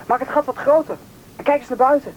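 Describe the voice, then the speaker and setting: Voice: high-pitched, fast talker, highs cut off, lightly acted. A performer playing an injured, stubborn boy lost in the wilderness, bossy and urgent, in a radio play. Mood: strained, determined. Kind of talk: Dutch radio play acting. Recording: radio play recording, faint noise.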